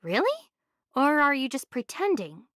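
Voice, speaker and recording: A woman's voice: high-pitched, big pitch swings, moderate pace, a bit bright, no room sound, clean studio sound. An actress playing a young, determined female adventurer in an anime game, inquisitive and unimpressed. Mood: inquisitive, suspicious. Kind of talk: English anime game voice acting.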